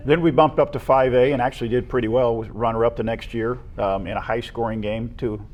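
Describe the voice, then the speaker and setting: An American man co-hosting a local high school sports show, discussing teams in a conversational style. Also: steady tone, fairly quick, a little mellow.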